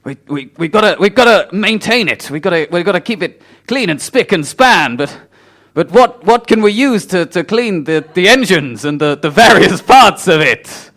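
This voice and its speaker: Man acting fussy male boss, stammering, highish voice, very animated intonation, improvised radio comedy. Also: normal speed, hardly any pauses. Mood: fussy, excited. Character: flustered, pedantic male boss of a tiny island airport.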